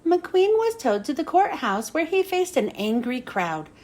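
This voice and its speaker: A woman reading a children's storybook aloud as an expressive narrator. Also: sits high, unhurried. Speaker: storybook reader.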